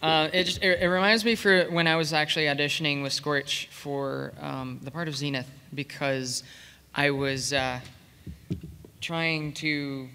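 Guy on a con panel, sharp highish voice, halting and jokey, noisy echoey hall. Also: steady tone, smooth. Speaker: convention panelist, amateur voice actor. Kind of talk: casual panel anecdote. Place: large convention room with audience. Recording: echoey hall, noisy public-address pickup.

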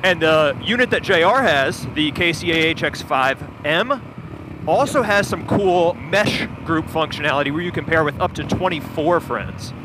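Guy on a bike intercom going through specs, wind noise, bit high. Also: animated, moderate pace. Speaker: video producer reviewing gear. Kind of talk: explanatory casual chat. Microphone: helmet intercom.

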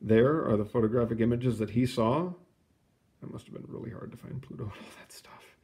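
A man reading a children's book aloud in an expressive, explanatory way, with casual asides. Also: medium pitch, a little fast. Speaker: picture book reader.

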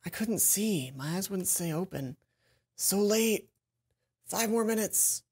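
A man's voice: sits high, lively intonation, slow, darkish tone, husky. A man hosting a let's play, chatting casually from a home room into a headset. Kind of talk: casual game commentary. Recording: game music behind.

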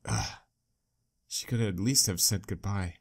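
A man's voice: low-pitched, sing-song, measured pace, gravelly, voice pushed down, exaggerated voice. Man reading as a worried young woman journalist. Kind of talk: reading visual novel dialogue aloud. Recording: game music behind.

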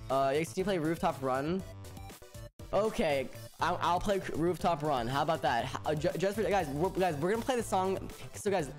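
A young man talks to his chat while gaming, his voice a touch high and very lively, bouncing up and down. He stutters and doubles back on words often. Soft music plays behind him. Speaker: streamer. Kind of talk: casual live-stream chat. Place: home room, indoors. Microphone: headset. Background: music playing.

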